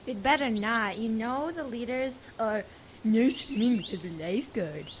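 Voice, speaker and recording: Woman playing careful worried camp kid, high girly voice, birds n noise behind, audio drama. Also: expressive, moderate pace, lightly acted. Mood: cautious. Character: cautious, worried young girl camper.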